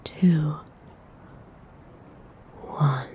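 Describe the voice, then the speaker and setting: A woman performs a female vampire noble, tender and quietly possessive, in an intimate ASMR roleplay. Her breathy whisper is mellow and crawls along very slowly, coaxing and soothing. A faint noise sits behind the voice. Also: sits low. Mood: seductive, reassuring. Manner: slow, hushed, coaxing and reassuring.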